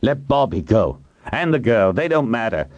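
A man's voice: very deep voice, expressive, normal speed, dry recording, dull audio. A man voicing a ruthless, bitter ageing tycoon in an audiobook, growling and emphatic. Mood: bitter, desperate.

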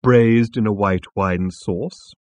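A man voicing a large, fat, obliging talking cow in an audiobook, with a contented, deferential, lowing delivery. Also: takes it slowly, a little mellow, dry recording, muffled recording. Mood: contented, inviting. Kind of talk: audiobook comic science fiction character.

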